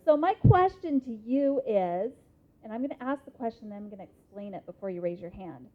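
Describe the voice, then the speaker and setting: A woman in her thirties with an American accent gives an interactive motivational talk in a conference hall, speaking emphatically with deliberate pauses. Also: mid-range voice, lively intonation. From Idaho, United States. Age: 30s. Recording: muffled lo-fi live hall recording.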